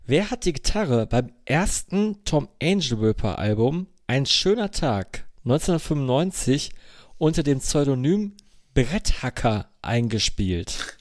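A man's voice: mid-range voice, big pitch swings, measured pace, no echo, studio quality. A man hosting a casual podcast quiz, teasing a little and repeating himself for emphasis. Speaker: podcast host running a quiz. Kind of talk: casual quizmaster chat.

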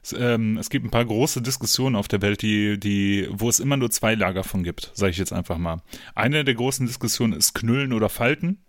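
A man's voice: fairly low pitch, fairly quick, dry recording, studio quality. A man hosting a podcast, bantering casually with mock-serious, joking exaggeration. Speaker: podcast host.

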